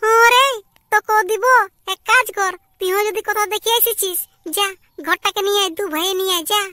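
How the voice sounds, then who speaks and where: Exasperated village mum, cartoon comedy, squeaky-high, rapid-fire nagging, sarcastic, Purulia Bengali accent. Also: female voice, steady tone, pushed and bright, dry recording, clean studio sound. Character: scolding, exasperated village mother of grown sons. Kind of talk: amateur cartoon comedy dialogue.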